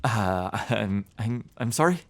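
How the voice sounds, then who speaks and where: A man playing a weary, uneasy travelling merchant in a fantasy audio drama, speaking haltingly. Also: on the low side, animated. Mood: puzzled, curious. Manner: hesitant, halting, muttering to himself.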